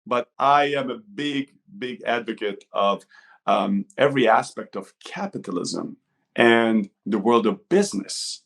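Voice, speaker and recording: A man's voice: mid-range voice, lively intonation, a little slow, creaky, fairly bright tone. A middle-aged man from Hungary with a Hungarian accent, talking informally and discursively as a coach being interviewed. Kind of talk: conversational interview talk.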